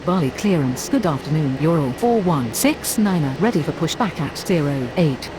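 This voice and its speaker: Over clear background noise, a woman makes aviation radio calls. Her voice is slightly low and moves around in pitch. The wording is clipped and procedural. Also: animated, normal speed. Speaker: airline pilot and air traffic controller radio voice. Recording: clearly noisy audio.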